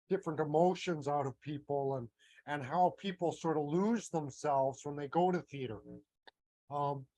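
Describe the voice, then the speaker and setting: Canadian guy rambling casually, lots of ums and restarts, voice a bit high. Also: a little mellow, dry recording, low-quality recording. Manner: meandering, thinks aloud with many fillers and restarts. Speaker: astrology teacher.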